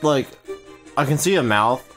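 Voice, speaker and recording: A man's voice: medium pitch, lively intonation, measured pace, fairly bright tone. A man chatting casually and jokingly as he watches a friend play a video game, crude, slangy and teasing. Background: game music.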